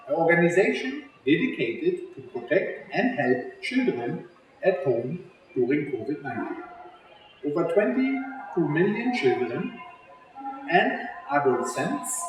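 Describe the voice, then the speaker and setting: A man reading a children's story aloud, speaking directly and expressively to young listeners. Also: fairly high pitch. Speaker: executive chef reading a children's story for a charity. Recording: very echoey room, faint background noise.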